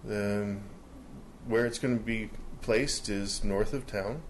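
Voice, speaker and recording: A man around fifty, speaking slowly and folksily as a resident at a council public hearing, standing at a podium in a council chamber. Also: fairly low pitch, fairly even intonation, a little hiss or hum. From Canada. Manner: slow, folksy storytelling.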